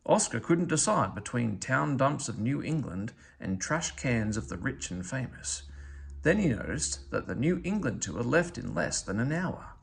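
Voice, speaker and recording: A man reading a children's storybook aloud in an expressive narrating style. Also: mid-range voice, measured pace, gravelly, a little hiss or hum. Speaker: storybook reader.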